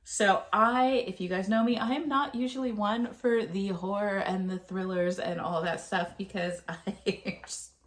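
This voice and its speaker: A woman in her thirties talking casually to camera about her month's reading, a bit nasal and gravelly from a cold, with plenty of ums and uhs. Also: medium pitch, fairly even intonation, measured pace. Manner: chatty, with frequent ums and uhs. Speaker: book vlogger.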